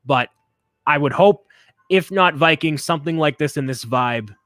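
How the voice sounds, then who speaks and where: A man's voice: a little high, normal speed, a bit bright, dry recording, studio quality. A man co-hosting a casual chat, enthusiastic and opinionated, often repeating words as he talks. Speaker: co-host. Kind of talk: casual chat while building.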